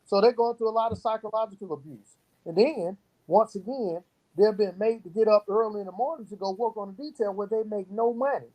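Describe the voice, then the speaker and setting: A man's voice: high-pitched, brisk, a little mellow, poor audio. A man speaking as an interview guest, giving earnest, emphatic answers and illustrating his points with stories. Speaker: guest, youth program founder. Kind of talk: earnest interview talk with storytelling.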